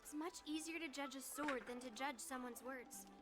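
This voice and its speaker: A high, girlish anime game heroine played by a woman speaks over clear background music. She is a young swordswoman, blunt, curious and sure of herself. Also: normal speed, natural voice. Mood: self-assured.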